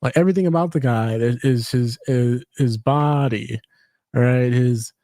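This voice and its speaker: A man's voice: medium pitch, a little slow, warm tone, no echo, clean studio sound. A man hosting a casual podcast chat, rambling with lots of fillers and restarts. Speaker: podcast host.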